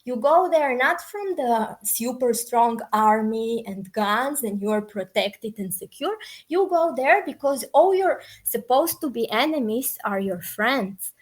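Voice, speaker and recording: A woman's voice: on the high side, poor audio. A woman from Bulgaria with a Bulgarian accent, answering interview questions informally, hedging and thinking aloud as she goes. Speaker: podcast guest, peace activist.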